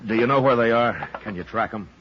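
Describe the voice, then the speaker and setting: An actor playing a stern, steady frontier marshal with a terse, authoritative manner in a 1950s radio western. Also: male voice, lowish voice, brisk, background noise, lightly acted. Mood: gruff, urgent. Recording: muffled old radio recording.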